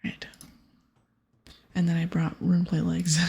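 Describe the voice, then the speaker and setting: A woman speaks in a very breathy, husky murmur with heavy creak, often slipping into a whisper. She gives casual, gentle ASMR game commentary and reads text aloud. Soft music plays behind her. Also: on the low side, monotone, takes it slowly. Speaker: video game streamer. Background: music, keyboard clicks.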